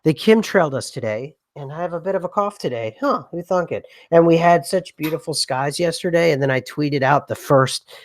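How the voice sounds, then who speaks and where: A man's voice: on the high side, expressive, fairly quick, hardly any pauses. A man co-hosting a casual podcast, rambling with many restarts, who has a cough. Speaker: podcast co-host. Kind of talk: casual conspiracy podcast chat.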